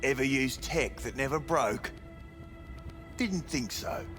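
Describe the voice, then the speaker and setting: A man's voice: medium pitch, slow pace, fairly bright tone, soft background music. An actor playing a sleazy, cynical male brothel manager in a cyberpunk video game, talking in crude, dismissive slang. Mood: matter-of-fact, cynical. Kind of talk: video game dialogue acting.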